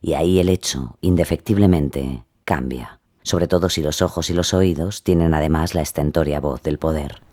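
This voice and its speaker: A man giving solemn, measured dramatic narration from a script. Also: rumbling low, a little mellow.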